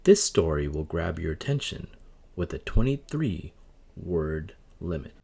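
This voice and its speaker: A man's voice: low voice, big pitch swings, a little slow, creaky, a little mellow, breathy edge. A man presenting a story series in scripted, dramatic podcast intro narration.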